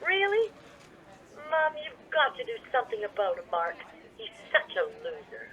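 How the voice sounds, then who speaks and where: A woman's voice: high voice, big pitch swings, normal speed, a bit bright. A woman playing a petulant, exasperated teenage daughter complaining over the telephone in a radio play. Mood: exasperated, whiny. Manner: whiny, complaining. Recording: tinny phone line.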